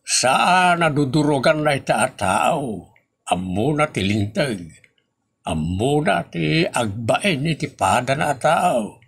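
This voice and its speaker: A man's voice: mid-range voice, lively intonation, takes it slowly, creaky, fairly bright tone, husky, full character voice. A man playing a grumbling, sceptical husband in an Ilocano comedy audio drama, emphatic and with an Ilocano accent.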